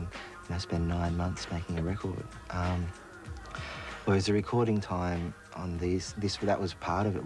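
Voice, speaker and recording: Man, deep voice, informal interview talk, music behind. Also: poor audio.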